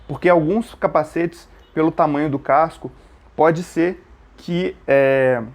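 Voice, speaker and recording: A man's voice: a little high, takes it slowly. A man with a Brazilian accent giving an informal step-by-step gear tutorial, explaining as he works and often checking in with short tag questions. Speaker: motorcycle gear tutorial presenter. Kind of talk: informal step-by-step hands-on instruction.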